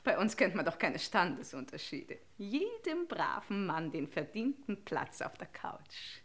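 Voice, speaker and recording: A woman's voice: mid-range voice, sing-song, measured pace, fairly bright tone. An actress playing a gushing, coaxing aristocratic lady hostess with grand politeness in an old radio crime comedy. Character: gushing, over-solicitous aristocratic lady hostess, slyly insistent.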